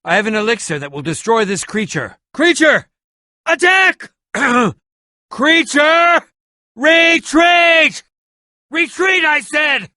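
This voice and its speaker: A man's voice: very high voice, very animated, takes it slowly, sharp tone, no echo, shouting, studio quality, exaggerated voice. A male actor voicing a haughty, scheming, villainous potion-maker in a video game, pompous, sneering and melodramatic, with over-enunciated delivery. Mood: frantic, outraged. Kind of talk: video game character acting.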